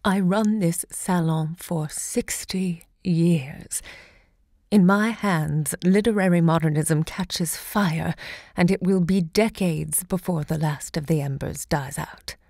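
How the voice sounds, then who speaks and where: An actress playing a grand, impassioned woman who hosts a literary salon, looking back in deliberate, theatrical monologue. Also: on the low side, darkish tone, no echo, studio quality. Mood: reflective, grand. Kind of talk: theatrical audio drama monologue.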